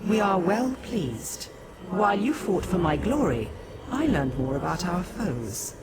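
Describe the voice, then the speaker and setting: A woman playing a solemn, regal living goddess in a fantasy game, speaking in a stately, commanding, measured manner. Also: fairly low pitch. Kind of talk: scripted fantasy game dialogue. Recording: noisy game ambience behind.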